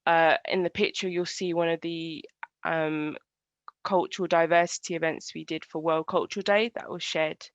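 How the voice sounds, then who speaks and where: A woman engineer giving an online presentation in a halting, earnest way, over a video call. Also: fairly low pitch, fairly even intonation, dry recording. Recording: dull lo-fi video call audio.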